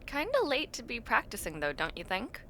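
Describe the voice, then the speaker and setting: A young adult woman playing a friendly, teasing university student in a casual audio roleplay, talking to the listener with expressive reactions and drawn-out words. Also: a little high, a little hiss or hum, full character voice. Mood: chatty, playful.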